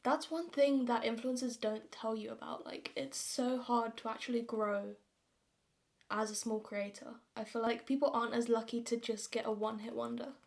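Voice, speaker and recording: A young woman of nineteen from London speaks with a southern English accent in a fairly high voice. She talks to her viewers like a vlogger, informal and chatty, with a self-deprecating way about her. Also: fairly even intonation, moderate pace, gravelly. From London, England. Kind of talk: casual get-ready-with-me chat to viewers.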